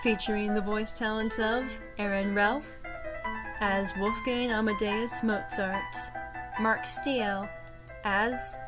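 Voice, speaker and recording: A woman reading scripted credits as an announcer in a measured way. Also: medium pitch, fairly even intonation, loud music behind the voice, low-quality recording.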